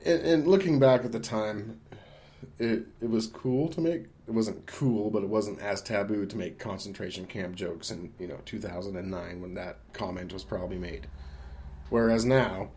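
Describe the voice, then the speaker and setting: A man's voice: on the low side, steady tone, normal speed, mellow, slight background noise. A man co-hosting a podcast, discussing film casually in a hesitant, rambling, conversational way. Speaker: podcast co-host.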